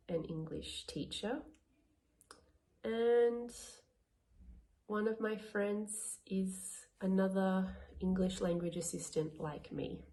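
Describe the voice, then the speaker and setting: A woman working as an English language assistant, answering interview questions slowly and carefully. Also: medium pitch, warm tone. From Australia.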